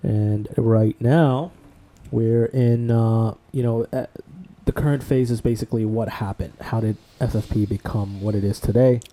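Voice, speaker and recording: Man, co-host, very dark tone, relaxed rambling storytelling, casual podcast talk. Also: on the low side, measured pace, few pauses. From Bangladesh.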